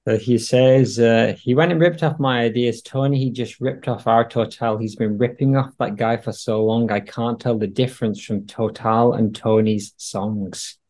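A man's voice: medium pitch, fairly even intonation, normal speed, hardly any pauses, a little mellow, slight background noise. A man in a casual podcast discussion, explaining his points in an assertive, wry way. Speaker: podcast panelist.

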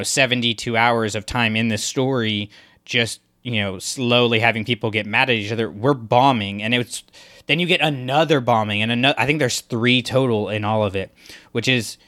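A man's voice: medium pitch, sharp tone. A man co-hosting a podcast, talking in a loose, rambling way full of fillers. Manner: rambling, filler-heavy, enthusiastic. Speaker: podcast co-host. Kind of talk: casual discussion.

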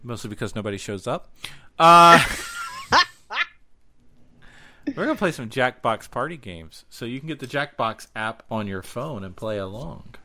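A man's voice: medium pitch, big pitch swings, moderate pace, pauses often, bright, forceful tone. A man co-hosting a podcast, making casual announcements with jokey, playful asides. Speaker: podcast co-host.

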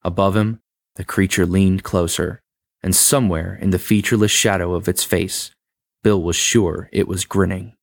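A man with a very low voice narrates a horror tale, taking his time over each sentence and leaning into the suspense. The sound is close and dry, with no room around it. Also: steady tone, gravelly, no room sound. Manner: measured, dramatic pacing. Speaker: story reader. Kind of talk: scripted horror story narration.

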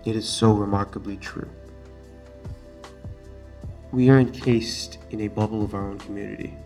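A young man in his late teens from Singapore speaks with an American-sounding accent in a low, somewhat gravelly voice. He hosts a solo podcast earnestly, stressing and repeating his main points. Faint music plays underneath. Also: warm tone. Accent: American-sounding, not Singaporean. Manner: earnest, emphatic, repeats key phrases. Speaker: student podcast host.

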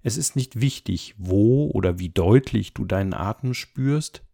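A man leading a guided meditation as an instructor, speaking calmly and gently in measured, unhurried phrases. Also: fairly low pitch, vocal fry. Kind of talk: guided body scan meditation, soft instruction.

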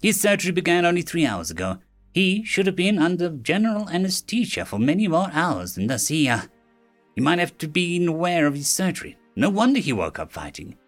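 A man voicing a stern, commanding female alien head nurse in a storybook reading, raising his voice high above his own. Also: very animated, fairly quick, soft background music. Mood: caring. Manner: commanding, urgent.

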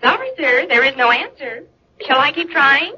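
Casting: courteous switchboard operator, piercingly bright, singsong, vintage radio drama. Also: sits high, sing-song, normal speed, heavily acted. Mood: businesslike. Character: brisk, polite female telephone operator. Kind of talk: old time radio drama acting. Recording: muffled, hissy old radio recording.

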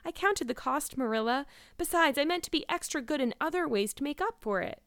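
A woman's voice: high voice, lively intonation, brisk, a bit bright, no room sound, studio quality, pitched above the performer's own voice. A woman voicing a despairing, wordy young girl in a storybook, pleading and earnest in a melodramatic way. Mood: earnest, defensive.

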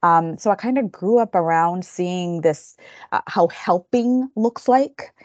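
Low-voiced woman, thoughtful. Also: darkish tone, no room sound, muffled recording. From Kenya. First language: Kikamba. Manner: thoughtful, earnest, halting restarts. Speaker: guest counselor educator. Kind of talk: reflective interview storytelling.

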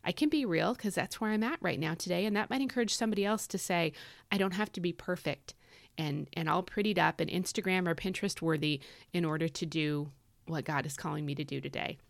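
A woman's voice: lowish voice, a little fast, gravelly, no room sound. A woman hosting a devotional podcast, talking in a casual, earnest way with frequent fillers; her voice is scratchy and tired from overuse. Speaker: devotional podcast host and author.

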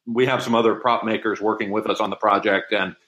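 A man's voice: on the low side, fairly even intonation, fast talker, a bit bright, highs cut off. A man speaking as an interview guest, explaining things in a casual, conversational way. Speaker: interview guest, audio drama producer.

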